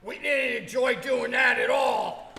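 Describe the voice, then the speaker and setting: A man playing a touchy, menacing cop who plaintively claims to be sensitive, shouting in a live comedy radio play. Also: very high voice, slow, gravelly, full character voice. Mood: earnest. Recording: echoey hall with audience noise.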